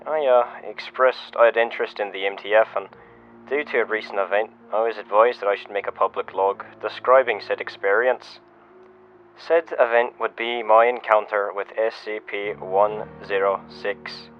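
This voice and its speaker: A man playing a shaken security officer, giving a measured first-person incident report as a scripted audio log. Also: on the low side, steady tone, close to an everyday voice. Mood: grave, measured. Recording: muffled lo-fi radio-style sound, music behind. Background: music.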